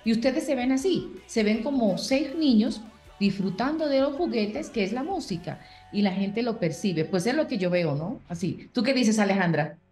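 A woman's voice: a little high, expressive, normal speed, a little mellow. Dominican-born lady host on a webcam, gushing and friendly, faint music under it. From Dominican Republic. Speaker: interviewer host. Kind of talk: video call interview. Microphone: webcam on video call.

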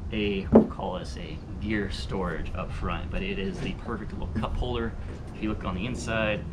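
A young man with a fairly low voice talks through his gear in a casual, chatty way. He is outdoors with a camera a few steps off, and loud open-air ambience sits under everything he says. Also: very noisy. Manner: relaxed, chatty, repeats "really, really". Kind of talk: casual product walkthrough. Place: sunny backyard patio outdoors.

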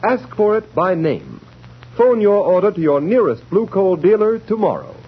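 A man's voice: high voice, huge pitch swings, moderate pace. A man announcing formally and emphatically from a script in 1930s radio style. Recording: muffled 1930s radio recording. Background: faint music.

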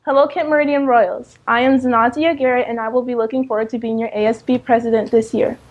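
American teen girl doing a class election speech, bit high, slightly dull audio. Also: steady tone, quick pace. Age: teenager, about 14. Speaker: student election candidate. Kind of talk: prepared campaign speech to camera.

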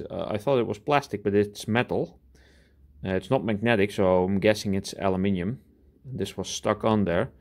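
Man, lowish voice, casual workshop vlog, halting delivery, thinking aloud, hands-on commentary. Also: darkish tone. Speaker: hobby scrapper presenting his own video. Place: indoor cluttered workshop.

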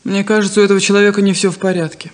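An actress playing a proud, serious, emotional farm daughter in a 1970s Soviet radio play. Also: female voice, mid-range voice, little pitch movement, racing, darkish tone, lightly acted. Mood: indignant. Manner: indignant, emotional.

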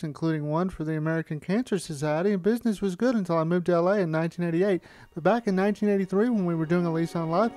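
A man's voice: high voice, a little mellow. A man narrating a scripted look back as a filmmaker.

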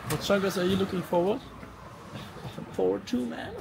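A man's voice: high voice, moderate pace, gravelly. A man in his twenties chatting casually on a handheld vlog camera. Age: 20s. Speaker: vlogger's travel companion. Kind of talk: casual chat. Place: in a car and a convenience store. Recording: noisy car and shop ambience.

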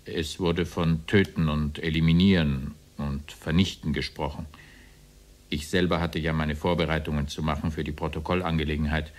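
An actor playing an evasive, deferential former SS officer testifying in formal, roundabout sentences in a radio play. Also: male voice, extremely low pitch, flat delivery, normal speed. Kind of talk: radio play courtroom dialogue.

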